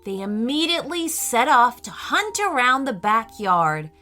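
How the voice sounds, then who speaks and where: A woman narrating a scripted children's bedtime story in a careful, expressive, dramatic storytelling manner. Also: on the high side, unhurried, faint music behind.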